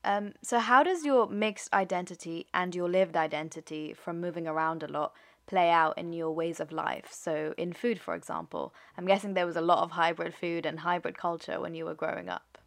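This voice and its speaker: Fairly low-pitched woman podcast host. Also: fairly even intonation, moderate pace, gravelly, hardly any pauses. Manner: friendly and affirming, with filler words. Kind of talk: casual interview questions.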